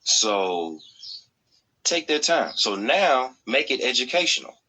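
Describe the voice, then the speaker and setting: A man's voice: mid-range voice, very animated, takes it slowly, sharp tone, slightly rough, poor audio. An American man in casual podcast chat as co-host, blunt and slangy, repeating himself for emphasis.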